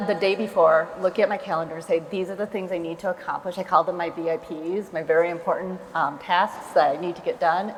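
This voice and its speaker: A middle-aged woman speaking on a conference panel in a chatty, playful and emphatic way. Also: fairly low pitch. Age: 40s to 50s. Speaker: panelist, talent attraction lead. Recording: reverberant hall, faint room noise.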